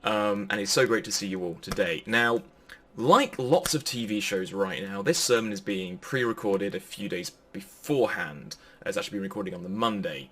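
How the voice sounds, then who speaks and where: A man's voice: on the low side, normal speed, sharp tone. A man giving an informal, pre-recorded sermon in a chatty, jokey manner. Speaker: preacher.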